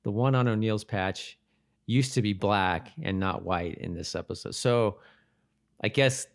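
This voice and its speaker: A man with a fairly low voice talks casually as a podcast co-host. His delivery is halting, and he often doubles back to restart a phrase. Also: vocal fry. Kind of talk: casual chat about a TV episode.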